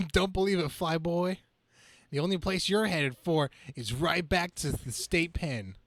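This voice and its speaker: A man's voice: a little high, unhurried, a bit bright, slight background noise. A man voicing a young, harried, wisecracking superhero in a melodramatic, slightly stumbling comic-book reading. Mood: taunting.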